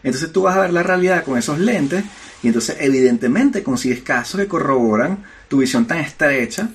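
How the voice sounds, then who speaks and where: A man's voice: a little high, lively intonation, fairly quick. Venezuelan man arguing his point emphatically. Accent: Venezuelan Spanish. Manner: argumentative, emphatic, frequent filler words. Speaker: podcast co-host. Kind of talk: casual discussion.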